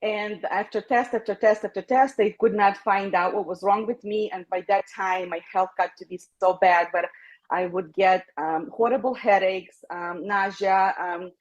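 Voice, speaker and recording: A woman's voice: medium pitch, fairly even intonation, normal speed. A woman from Ukraine presenting an informal personal testimonial over a dull, lo-fi online call. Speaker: presenter giving a testimonial and introducing the next speaker.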